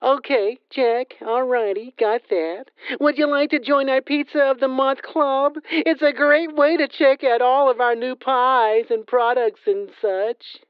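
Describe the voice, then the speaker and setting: A woman's voice: high voice, steady tone, unhurried, hardly any pauses, clear, resonant tone, dry recording, highs cut off. A woman playing a new young pizza clerk who keeps upselling in a children's comedy skit. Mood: chirpy. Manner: chirpy upselling, flustered.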